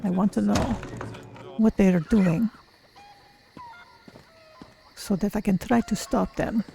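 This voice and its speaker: A woman voicing a curious, cautious female Khajiit cat-person adventurer in a measured, formal way for a game roleplay. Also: medium pitch, steady tone, gravelly, warm tone, a little hiss or hum. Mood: musing. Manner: measured, formal, speaks of self in third person. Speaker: streamer roleplaying a character. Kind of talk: in-character game roleplay narration.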